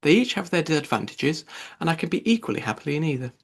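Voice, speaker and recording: Male narrator as good-natured young gentleman, cheerful, exclamatory, very animated intonation, slight creak, audiobook dialogue. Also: fairly high pitch, fast, full character voice. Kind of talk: classic novel audiobook dialogue.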